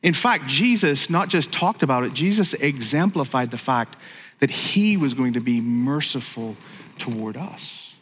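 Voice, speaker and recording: A man's voice: medium pitch, animated, unhurried, fairly bright tone, breathy edge, in a large hall. A middle-aged man from Northern Ireland preaching a sermon in a joking, conversational way that keeps breaking off into asides. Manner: jokey, conversational, self-interrupting. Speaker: pastor.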